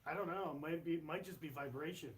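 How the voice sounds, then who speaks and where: A man's voice: fairly high pitch, fairly quick, a bit bright. A man running the recorder on a ghost hunt, practical and matter-of-fact. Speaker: paranormal investigator running the recorder. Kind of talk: casual chat during a ghost hunt. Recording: distant voice with clear background hiss.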